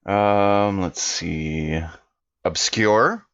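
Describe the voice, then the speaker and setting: Guy with a deep voice at game night, casual player talk, bit dull sounding mic. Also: drawn-out words, fairly bright tone. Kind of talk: casual table chat.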